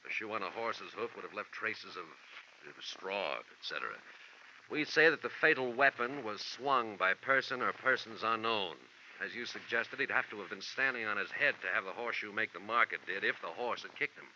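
Forceful, formal police lieutenant. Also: male voice, lowish voice. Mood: official, dry. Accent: American. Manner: formal, deliberate, official. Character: matter-of-fact male police homicide lieutenant. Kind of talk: 1940s radio acting, official report. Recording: muffled vintage radio recording, faint noise.

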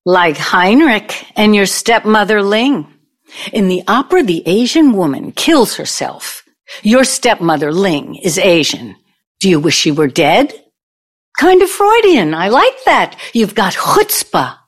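A woman's voice: medium pitch, sing-song, a little slow. A woman acting in an audio play as a brazen, needling older ex-girlfriend out for revenge, blunt and theatrical. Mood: needling, probing.

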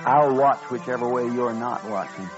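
An actor playing a folksy, eager deputy sidekick with a rural American accent in a 1950s radio western. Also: male voice, mid-range voice, normal speed, lightly acted. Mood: earnest. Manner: folksy, deferential, rambling. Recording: dull old radio recording, faint music.

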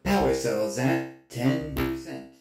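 A man voicing a weary, dutiful robot in an audio drama, making slow, deliberate announcements in a roomy echo. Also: medium pitch, vocal fry, voice pushed up. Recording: roomy echo with music behind.

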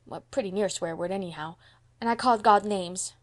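A woman's voice: medium pitch, fairly quick, lightly acted. A woman voicing a small mischievous boy in an audiobook, earnest, guilty and blunt, with childish, emphatic grammar slips. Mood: miserable, pleading. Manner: childish ungrammatical speech, emphatic. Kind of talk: audiobook character voice.

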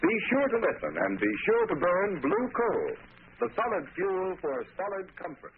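Formal old-time radio announcer, higher-pitched man. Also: big pitch swings, moderate pace, slight background noise. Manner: formal, declamatory. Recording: tinny old radio, music behind.

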